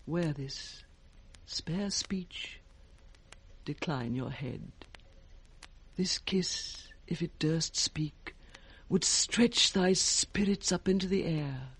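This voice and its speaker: A woman's voice: fairly low pitch, breathy edge, full character voice. An actress playing a scornful, scheming, commanding noblewoman in a British accent, in a theatrical 1960s radio Shakespeare style. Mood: seductive, hushed. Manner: theatrical, deliberate, swooping delivery.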